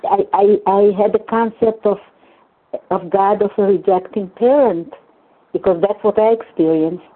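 An older woman whose first language is Hungarian, sharing in a recovery meeting in a halting, earnest way, often repeating words. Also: mid-range voice. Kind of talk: personal share in a phone recovery meeting. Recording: tinny phone line.

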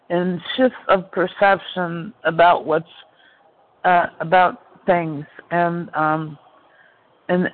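A woman talks slowly over a narrow, tinny phone line. She shares in a halting, reflective way, pausing and restarting as she goes. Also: lowish voice. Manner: halting, with many fillers and restarts. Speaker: meeting participant sharing. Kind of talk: personal recovery share. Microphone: phone call.

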